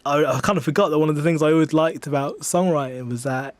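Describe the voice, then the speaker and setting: A man's voice: fairly high pitch, fast, no echo. Hesitant young English man, dry close recording. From England. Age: 20s. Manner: self-deprecating, hesitant, rambling. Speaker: singer-songwriter being interviewed. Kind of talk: casual radio interview chat. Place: radio studio.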